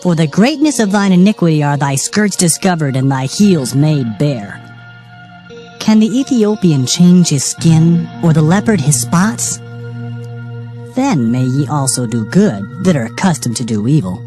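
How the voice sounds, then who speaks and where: A woman's voice: low-pitched, very animated, a little slow, dark tone, faint music behind. A woman narrating dramatized scripture in a solemn, declamatory manner. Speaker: Bible narrator.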